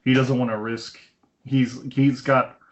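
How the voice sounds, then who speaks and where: A man's voice: medium pitch, flat delivery, measured pace. A man talks casually about film as a podcast co-host, with a mid-range voice. He explains as he goes, slipping into retold dialogue. The sound is dull and lo-fi, recorded over an internet call. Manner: explanatory, retells scenes with quoted dialogue. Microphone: Skype call.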